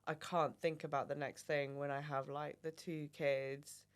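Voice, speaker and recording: A woman's voice: deep voice, little pitch movement, unhurried, clean tone. Thoughtful woman, filler-heavy. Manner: thoughtful, explains at length with many fillers. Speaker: guest, family member. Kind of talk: casual podcast chat.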